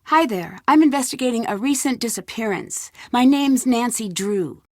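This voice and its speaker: An actress playing an inquisitive young amateur detective in a video game, with an American accent and a direct, wry manner. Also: female voice, on the high side, lively intonation, moderate pace, no echo, studio quality. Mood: polite. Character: plucky, inquisitive young female amateur sleuth. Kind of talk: video game character acting.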